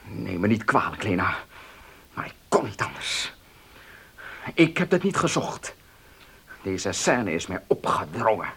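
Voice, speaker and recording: An actor playing a passionate, hot-tempered suitor in a Dutch-style radio play, husky and melodramatic, tender toward his beloved. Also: fairly low pitch, very animated, measured pace, pauses often, bright, forceful tone, full character voice. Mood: tender, hushed. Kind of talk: radio drama acting.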